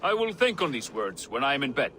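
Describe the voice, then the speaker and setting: An actor playing an anxious, earnest young Viking sentry with a husky voice. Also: male voice, medium pitch, very animated, fast talker, sharp tone, slight background noise. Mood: worried.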